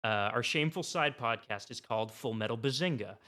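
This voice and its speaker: A man chatting casually and humorously as a podcast guest, animated and often laughing through his sentences. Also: mid-range voice, a little fast. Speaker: podcast guest, co-host of another show.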